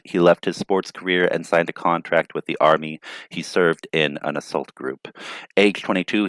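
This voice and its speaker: A man's voice: deep voice, fairly bright tone, no room sound. A man hosting a news commentary show, reading reports and adding thoughtful, hesitant asides. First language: not Ukrainian.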